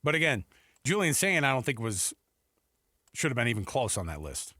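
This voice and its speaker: A man's voice: mid-range voice, sing-song, normal speed, bright, forceful tone, dry recording. An American man hosting sports talk radio in a casual, conversational style on a studio microphone. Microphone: close studio radio microphone.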